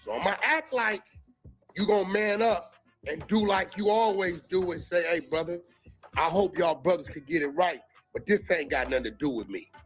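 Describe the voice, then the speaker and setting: American male, raised pitch, emphatic street-slang monologue calling people out, music underneath. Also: normal speed, low-quality recording.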